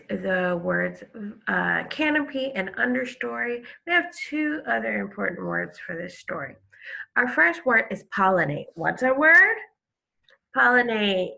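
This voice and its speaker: A woman teaching young children, reading aloud and explaining in a deliberate, emphatic, questioning way. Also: a little high, vocal fry, bright, forceful tone, poor audio. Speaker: second grade teacher. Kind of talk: instructional read-aloud for children.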